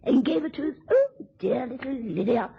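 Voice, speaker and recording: An actress in a radio drama playing a drunken, taunting, spiteful wife with a theatrical, mocking drawl. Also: female voice, sits high, lively intonation, moderate pace, creaky, husky, heavily acted. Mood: tipsy, mocking. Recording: dull, lo-fi old radio recording.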